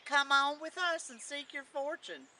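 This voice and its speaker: A woman's voice: high voice, expressive, unhurried, bright, forceful tone, far from the mic, slight background noise, exaggerated voice. A woman voicing a friendly, reassuring lad in a storybook folktale, in folksy rural dialect. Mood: encouraging.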